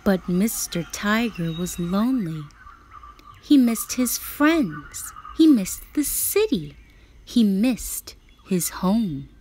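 A woman reading a children's picture book aloud as a storyteller, expressive and dramatic, sometimes dropping to a whisper for emphasis. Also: mid-range voice, slow pace, a little hiss or hum.

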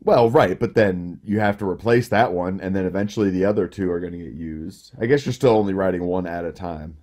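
A man co-hosting a game show podcast, relaxed and explaining things at length with plenty of fillers. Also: sits low, brisk. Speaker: podcast co-host. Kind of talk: casual chat.

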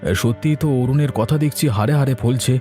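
A man's voice: mid-range voice, quick pace, dark tone, soft background music, lightly acted. A man playing a plaintive village uncle who farms, in an educational cartoon. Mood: worried. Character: grumbling village uncle, a farmer.

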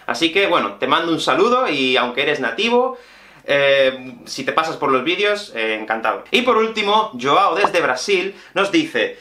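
A man in his thirties from Spain with a Peninsular Spanish accent, teaching a language lesson to camera in an expressive, explanatory way. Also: fairly high pitch, normal speed. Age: 30s. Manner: expressive, explanatory, addresses viewers directly. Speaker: Spanish teacher and video host. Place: small indoor room with whiteboard and bookshelf. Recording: echoey small room.

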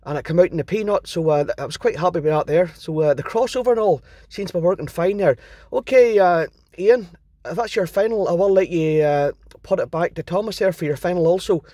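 Higher-pitched mellow man, dry sound. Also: brisk, few pauses, no echo. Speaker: amateur radio operator. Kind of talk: casual radio chat.

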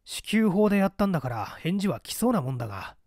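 A man's voice: sits high, very animated, quick pace, darkish tone, no echo, studio quality, voice pushed up, exaggerated voice. A man voicing an agitated, authoritative police section chief, blunt and tense, in a dramatized audiobook. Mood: impatient.

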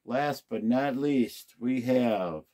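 A man's voice: mid-range voice, slow, warm tone. A man casually talking through an unboxing of used golf clubs, musing aloud to himself as he inspects each one. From United States. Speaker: golf club unboxing host.

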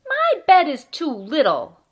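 A woman voicing a big, friendly, eager male dog from a storybook, with exclamatory, drawn-out delivery. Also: high voice, wildly swooping pitch, voice pushed up, exaggerated voice.

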